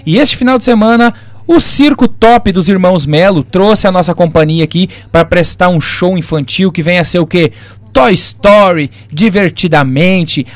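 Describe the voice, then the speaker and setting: Male radio guest, early thirties, southern Brazilian accent, notably high voice, chatty delivery. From Rio Grande do Sul, southern Brazil. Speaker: guest, circus clown and show producer. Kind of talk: casual radio interview. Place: radio studio.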